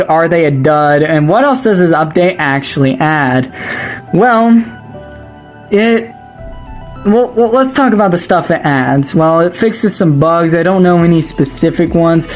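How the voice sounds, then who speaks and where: A gaming video creator talking through a game update in a casual, excitable, rambling way, with a slightly raspy voice after a long stream. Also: mid-range voice. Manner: chatty and excitable, rambling with restarts. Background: faint ambient music.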